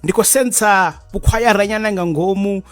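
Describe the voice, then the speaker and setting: A man with a Venda accent hosting a radio show, asking halting questions and mixing English with Venda. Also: high-pitched, fairly bright tone, no room sound. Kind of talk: radio interview. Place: radio studio.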